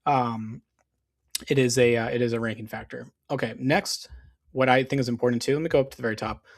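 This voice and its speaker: A man who is losing his voice, walking viewers through his business setup in a casual screen-share tutorial, with lots of fillers and restarts. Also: mid-range voice. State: losing his voice, clearing throat. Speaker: SEO business owner.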